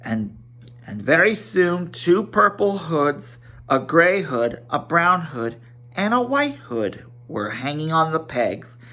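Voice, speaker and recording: A man giving an amateur dramatic storybook reading, expressive, with occasional stumbles over words. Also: medium pitch. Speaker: amateur audiobook reader. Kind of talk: dramatic storybook narration with character voices.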